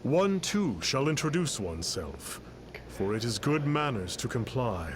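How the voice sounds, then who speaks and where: A man's voice: mid-range voice, sing-song, measured pace. Grandiose male mystic, aloof, formal old-fashioned speech, swooping intonation, noisy game audio. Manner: pompous, archaic formal speech. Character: pompous male self-styled mystic master, grandiose and aloof. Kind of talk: video game voice acting.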